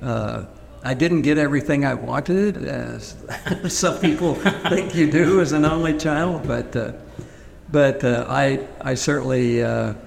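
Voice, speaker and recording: Man, from Pennsylvania, first language Pennsylvania Dutch, gravelly, hesitant with many fillers, long reverb, faint noise. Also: mid-range voice, measured pace, darkish tone. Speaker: interviewee. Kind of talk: oral history interview.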